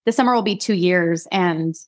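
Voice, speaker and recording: A woman's voice: fairly low pitch, normal speed. A woman in her early forties from Idaho, chatting casually as an interview guest, laughing often and restarting her sentences. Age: 40s. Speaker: guest, singer-songwriter.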